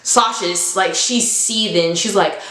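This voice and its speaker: A woman's voice: medium pitch, slow, a bit bright, slight background noise. A young adult woman telling a story to viewers in a casual, slangy, conversational way, dramatically re-enacting what people said. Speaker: storytime vlogger. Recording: echoey room.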